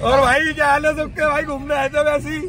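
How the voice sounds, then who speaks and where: A man's voice: very high-pitched, completely flat delivery, quick pace, over music, a crowd in the background. A young man vlogging with friends, teasing and upbeat as he talks to the camera. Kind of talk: casual chat with friends. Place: gym, then inside a car at night.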